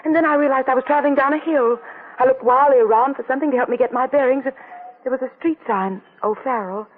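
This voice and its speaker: A woman's voice: sits high, fast talker, slight background noise, lightly acted. An actress playing a frightened young woman with amnesia, anxious and pleading, in 1940s radio acting. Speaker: detective's client. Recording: tinny old radio broadcast.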